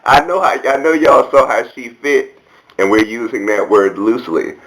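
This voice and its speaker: A man's voice: mid-range voice, lively intonation, moderate pace, fairly bright tone, low-quality recording. An American man giving casual commentary as a video blogger, talking in a candid, sassy, colloquial way.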